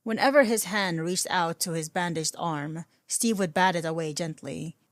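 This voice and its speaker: A woman's voice: fairly low pitch, moderate pace, dry recording. A woman reading scripted fan fiction as a dramatic story narrator. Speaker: fan fiction audiobook reader.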